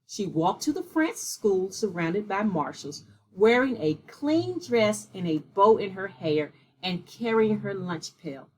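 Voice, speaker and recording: A woman with a slightly husky voice reading a children's picture book aloud in a measured, deliberate way. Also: mid-range voice, lively intonation, darkish tone, slight background noise, rough recording. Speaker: read-aloud storyteller.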